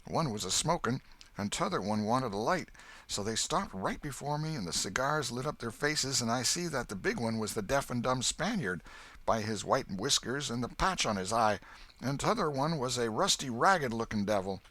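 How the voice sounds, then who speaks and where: An anxious young vagabond boy from rural America, as acted by a male audiobook reader. The voice sits high and moves in animated swings over halting, ungrammatical country talk. The recording is dry and close. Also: no echo. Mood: hesitant, earnest. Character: frightened, ragged vagabond boy, humble and uneducated. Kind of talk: audiobook character voice.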